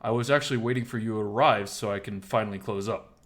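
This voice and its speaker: A low-voiced, protective bar owner played by a man in a dramatized story. He speaks gently and calmly, with concern and steady reassurance. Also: quick pace, natural voice. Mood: calm, reassuring. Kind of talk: dramatized audio story dialogue.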